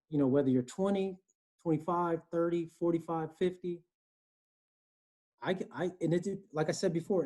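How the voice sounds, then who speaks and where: Earnest East Coast man on a video call. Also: fairly high pitch. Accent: East Coast American. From Connecticut, US. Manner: earnest, animated, frequent "you know" and "right?". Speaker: guest, HR director. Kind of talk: conversational interview. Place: home room, indoors.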